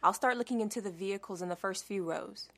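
A woman playing a capable, bossy leader of zombie survivors in an audio drama, brisk and commanding. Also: medium pitch, fairly bright tone.

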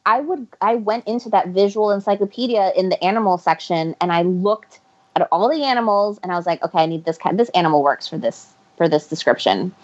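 A woman's voice: medium pitch, fairly quick. Woman, from Ecuador, guest author, casual interview chat, laughs often, muffled recording. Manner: rambling, self-correcting, laughs often. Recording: muffled lo-fi audio.

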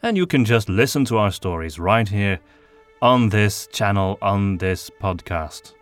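A man's voice: on the low side, animated, a little slow, faint music behind. A man hosting a podcast, talking casually in a hesitant, conversational way. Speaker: podcast host.

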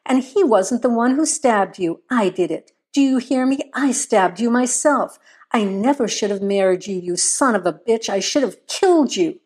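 A woman voicing a furious, defiant wife in an audiobook, sharp and challenging as she fights back. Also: on the high side, lively intonation, moderate pace.

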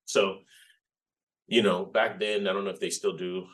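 A man in his forties from Rico, a Spanish speaker, telling his story as an interview guest in a reflective, unhurried way. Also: low-pitched, flat delivery, a bit bright. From Puerto Rico. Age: 40s. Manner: reflective, unhurried storytelling with frequent "you know". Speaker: guest, game developer. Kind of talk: casual interview chat.

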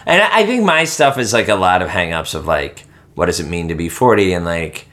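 A man's voice: deep voice, sing-song, brisk, fairly bright tone. Ranting, swearing man in his late thirties. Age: 30s. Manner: ranting, swears freely, heavy use of "like". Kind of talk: casual chat.

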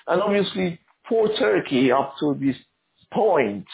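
A man who grew up in Argentina, an author answering interview questions in a halting, thoughtful way with many restarts. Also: fairly high pitch, lively intonation, gravelly, narrow, tinny audio, slight background noise.

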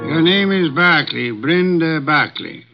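An actor playing a gruff, pompous male detective in a 1950s radio drama, clipped and dismissive. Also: fairly high pitch, animated, a little slow, loud music behind the voice. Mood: stern. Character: portly imperious male detective, gruff and fussy. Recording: dull old radio broadcast.

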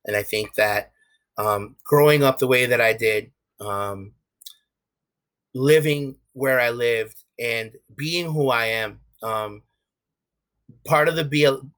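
Emphatic New York man with bright tone. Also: medium pitch. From USA. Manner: frequent restarts and fillers, candid and emphatic. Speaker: guest. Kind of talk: casual interview talk.

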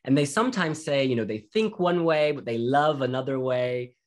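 A woman's voice: rumbling low, moderate pace. A woman interviewer asking a singer friendly, encouraging questions.

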